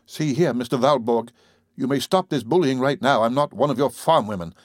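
A man's voice: mid-range voice, brisk, no room sound, studio quality, voice pushed up, natural voice. A man reading an audiobook, voicing an indignant young woman who stands up defiantly to a bully. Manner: defiant, stammering under pressure.

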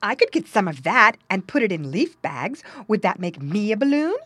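A woman's voice: medium pitch, very animated, moderate pace, fairly bright tone, slightly rough, dry recording, pitched above the performer's own voice. A woman voicing a cheerful, confident young girl squirrel in a storybook, chattering in a chirpy, breezy way. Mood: playful.

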